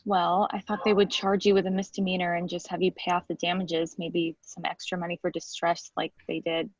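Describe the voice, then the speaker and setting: A woman reading a composed young female defense lawyer in a table read, calm and explaining. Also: fairly low pitch, dry recording, lo-fi sound, natural voice. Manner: measured, explaining calmly.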